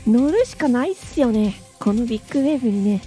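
A woman's voice: on the high side, expressive, quick pace, warm tone, faint music behind. A woman giving a casual promotional testimonial.

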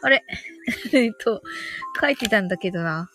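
A woman's voice: mid-range voice, lively intonation, normal speed, fairly bright tone, soft background music. A woman hosting a casual, chatty livestream English lesson, often giggling as she talks. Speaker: livestream host teaching English phrases. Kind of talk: casual chatty livestream, reading listeners' comments.

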